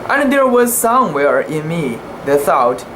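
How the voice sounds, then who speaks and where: An amateur narrator of unclear sex giving a dramatic reading of a literary sea story, careful and halting, with imperfect pronunciation. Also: mid-range voice, sing-song, a bit bright, slight background noise, poor audio.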